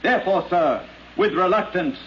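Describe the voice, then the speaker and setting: A dull, faintly noisy 1950s radio recording. a stern male judge with a high-pitched voice, pompous and formally indignant. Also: expressive, moderate pace, fairly bright tone. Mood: reluctant, formal. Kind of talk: 1950s radio drama acting.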